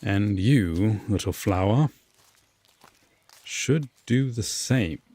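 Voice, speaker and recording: A brooding Fae lord, acted by a man in a roleplay audio drama. His voice sits on the low side and swings expressively through very slow, formal phrases. He is guarded and courteous, with reluctant kindness and long dramatic pauses. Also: lively intonation, dark tone, exaggerated voice. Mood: apologetic, courteous.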